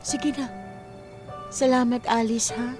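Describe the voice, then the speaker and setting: A woman's voice: a little high, little pitch movement, takes it slowly. Worried sickly mom in her 40s, radio drama, hesitant, music behind her. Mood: weary, homely. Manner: hesitant, stammering when worried. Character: anxious, ailing mother in her forties. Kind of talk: Filipino radio drama acting. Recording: background music under the voice.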